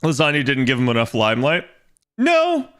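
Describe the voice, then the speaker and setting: A young man chatting casually on his game stream, rambling and restarting as he thinks aloud, with a slightly raspy voice today. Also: mid-range voice, huge pitch swings, moderate pace, fairly bright tone. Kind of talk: casual livestream chat.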